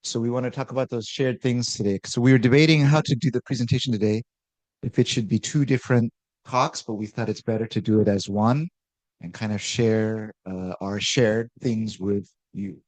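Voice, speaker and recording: A man, an artist, presenting a talk in a relaxed, loose way with frequent fillers. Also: medium pitch, moderate pace, dry recording, low-quality recording. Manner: relaxed, lots of uh fillers and restarts.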